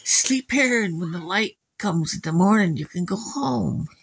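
A man's voice: high-pitched, lively intonation, a little slow, vocal fry, a bit bright, husky, no echo, voice pushed up, exaggerated voice. A man voicing a creepy, child-eating old woman in a bedtime horror story, coaxing and sinister in a sugary way. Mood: coaxing, sly.

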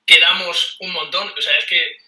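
A man's voice: a little high, little pitch movement, moderate pace, sharp tone, recorded from a distance. A man in his thirties from Spain, chatting casually as a guest in an interview over a video call, telling stories with energy and laughing often. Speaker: board game youtuber guest. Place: small room at home, indoors. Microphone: webcam mic on a video call. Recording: roomy webcam audio from off-mic.

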